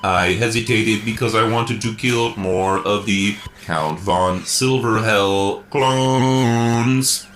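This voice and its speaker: A man playing a grief-stricken, self-blaming cyborg boy hero in a radio serial, with a halting, solemn, robotic delivery. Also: lowish voice, a bit bright, slight background noise. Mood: anguished, guilty. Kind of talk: mock radio serial acting.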